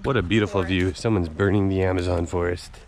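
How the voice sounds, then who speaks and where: A man's voice: sits low, fairly even intonation, brisk, darkish tone. A man with a cold, talking upbeat to viewers in a casual travel vlog, filmed at arm's length outdoors. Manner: upbeat, addresses viewers directly. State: has a cold. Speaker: travel vlogger. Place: outdoors in a town street. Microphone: camera held at arm's length.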